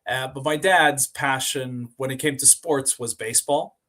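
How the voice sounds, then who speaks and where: A man from Sweden speaks as a guest on a podcast, sharing stories about his life in a loose, conversational way. He backs up and repeats himself, and he fills his pauses with "uh" and "you know." His pitch sits in a typical middle range. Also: bright, forceful tone. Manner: rambling, repeats and restarts words, many fillers. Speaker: podcast guest, company co-founder. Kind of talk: casual interview storytelling.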